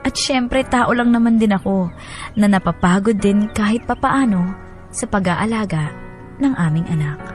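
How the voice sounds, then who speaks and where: Music plays behind an actress as a weary young mother. She narrates a radio drama letter in a plaintive, confiding manner, with a mid-pitched voice. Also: lively intonation, normal speed, darkish tone, lightly acted. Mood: sad, confiding. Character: weary young new mother telling her story.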